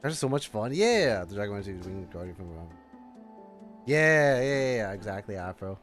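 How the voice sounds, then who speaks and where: A man's voice: on the low side, big pitch swings, moderate pace, fairly bright tone. A man livestreaming a game in a casual, rambling, chatty way, reacting and reading text aloud. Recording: faint music behind voice. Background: faint game music.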